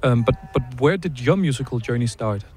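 A man's voice: mid-range voice, lively intonation, a little fast, darkish tone. Chatty man interviewing outdoors. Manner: friendly, chatty, with many fillers. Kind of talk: casual interview. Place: city park outdoors.

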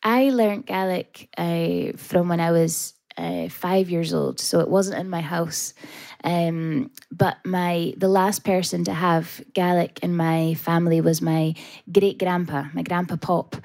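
A Scottish woman, a folk singer, talking softly with many pauses and fillers about her background. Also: on the low side, fairly even intonation, vocal fry. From Scotland. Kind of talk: interview and live stage talk.

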